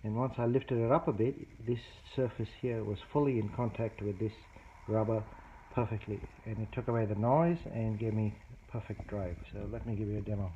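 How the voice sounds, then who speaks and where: A man narrating a casual hands-on repair demonstration as a hobbyist, explaining things in a matter-of-fact, unhurried way. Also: on the low side, fairly even intonation. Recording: dull lo-fi phone-video sound, faint noise.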